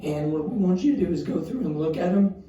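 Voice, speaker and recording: Young man, student teacher, casual instruction, dark soft tone, slightly high pitch, very roomy. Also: expressive, fast talker, dull audio. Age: young adult. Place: classroom. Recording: very echoey room, distant mic.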